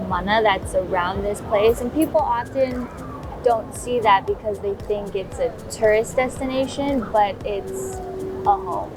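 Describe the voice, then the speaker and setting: A woman's voice: mid-range voice, background noise. A young woman from Waikiki, a professional surfer, talking earnestly in an interview and filling her speech with "you know" and "like". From Hawaii, Waikiki. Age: young adult. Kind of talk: interview talk. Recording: music bed underneath.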